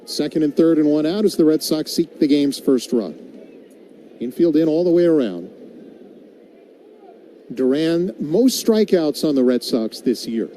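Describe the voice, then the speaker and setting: A man's voice: on the high side, animated, measured pace, pauses often, mellow. A man doing live radio play-by-play commentary of a baseball game as the announcer. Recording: background noise of an outdoor ballpark.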